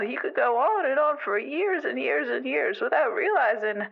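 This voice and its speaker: A woman playing an elderly, gentle animal weaver in an improvised radio call-in drama, rambling haltingly through folksy, wistful advice. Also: high-pitched, creaky, heavily acted. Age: older. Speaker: caller to a radio show. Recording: dull lo-fi radio call sound.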